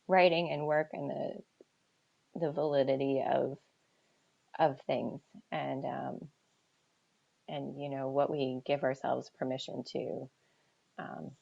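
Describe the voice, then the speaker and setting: An American woman chatting casually as an author on a livestream Q&A, thinking aloud in a halting, reflective way and often trailing off. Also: low voice, gravelly, darkish tone, breathy edge. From New Hampshire seacoast, United States. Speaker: author answering audience questions. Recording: dull lo-fi livestream audio.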